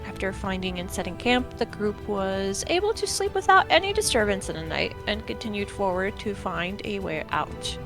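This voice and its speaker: A woman's voice: medium pitch, animated, moderate pace, few pauses, over music. Stuffy-nosed woman recovering from flu. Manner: apologetic, hesitant. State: stuffy, getting over the flu. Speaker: player. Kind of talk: casual chat and recap narration.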